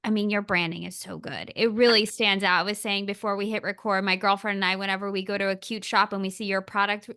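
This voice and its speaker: Bright, crisp-voiced enthusiastic woman host. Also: mid-range voice, level tone, fast talker, few pauses. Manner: enthusiastic, effusive, rambling questions. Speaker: interview host. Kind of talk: conversational interview.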